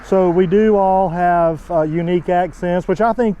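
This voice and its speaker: A man's voice: high-pitched, steady tone, moderate pace, warm tone, muffled recording. A middle-aged man from Southeast Texas with a Texas accent, talking casually to camera as a motorcycle vlogger, drawing out his vowels in a friendly, chatty way. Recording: noisy outdoor background.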